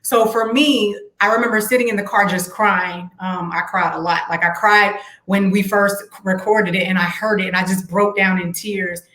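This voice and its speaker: A woman's voice: medium pitch, steady tone, normal speed, few pauses, fairly bright tone, reverberant, rough recording. A woman singer being interviewed, telling her story in an earnest, reflective way with faith-filled words. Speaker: singer and guest. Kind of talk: casual interview chat.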